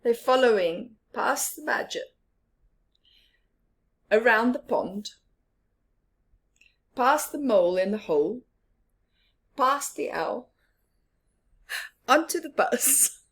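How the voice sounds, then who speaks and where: A woman reading a picture book aloud to young children, expressively, drawing out words and dropping into hushed tones. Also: on the high side, animated. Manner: drawn-out words, drops into hushed whispers. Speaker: children's storyteller.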